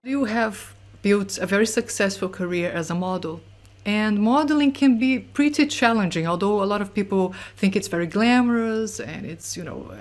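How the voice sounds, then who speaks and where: A woman interviewer asking long, measured questions with many fillers. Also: medium pitch.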